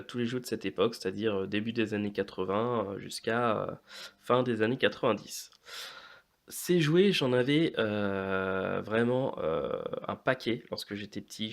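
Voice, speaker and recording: A man in his late thirties presenting informally to viewers as a video host, often hesitating with drawn-out fillers. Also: lowish voice, gravelly. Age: late 30s. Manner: hesitant, frequent long filler pauses. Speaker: YouTube host of a toy and model kit channel.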